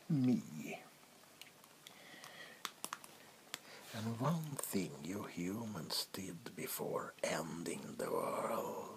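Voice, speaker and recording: A man playing an ancient, power-hungry vampire warlord in a soft-spoken ASMR roleplay, with a breathy whisper and a deliberate, menacing delivery. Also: lowish voice. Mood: commanding, menacing. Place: small wood-panelled room indoors.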